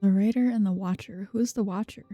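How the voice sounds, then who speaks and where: A woman's voice: medium pitch, fairly even intonation, quick pace, mellow, dull audio. A woman streamer giving casual, giggly, muttered live commentary on a video game. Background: game music.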